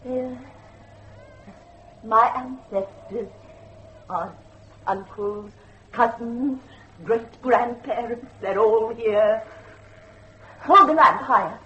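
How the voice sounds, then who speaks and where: An actress playing a haunted, timid gentlewoman, speaking formally and dreamily in a gothic horror drama. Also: on the high side, very slow, pauses often, muffled recording, a little hiss or hum, exaggerated voice. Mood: eerie, reverent. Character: haunted, timid gentlewoman living in fear of watchers.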